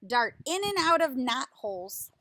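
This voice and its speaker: A woman reading a picture book aloud like a teacher, in a deliberate, instructive way, putting questions to young listeners. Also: high-pitched, sing-song, fairly bright tone, a little hiss or hum.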